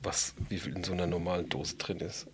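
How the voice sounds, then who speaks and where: A man's voice: deep voice, steady tone, brisk, slight background noise. A man co-hosting a podcast in casual chat, reacting and asking questions in loose, slangy everyday speech. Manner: colloquial, slangy. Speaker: podcast co-host.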